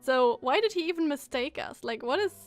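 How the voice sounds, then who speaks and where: A woman streaming a game, giving casual, reactive commentary and thinking out loud. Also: high-pitched, expressive, a little fast. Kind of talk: casual live gameplay commentary. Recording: game music behind the voice.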